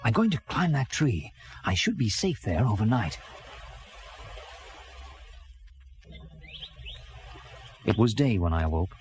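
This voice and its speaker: A man's voice: lowish voice, very animated, fairly quick. An actor playing an earnest castaway sea captain, telling his story in theatrical first-person storybook narration. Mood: earnest, reflective. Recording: music bed under early-1980s children's record. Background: dramatic background music.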